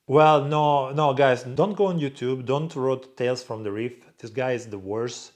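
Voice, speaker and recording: A man's voice: mid-range voice, a little slow. Blunt man answering questions. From Croatia. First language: Croatian. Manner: blunt, swears freely, reads viewer questions aloud in a louder voice. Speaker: vlog host answering viewer questions. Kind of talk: casual Q&A monologue.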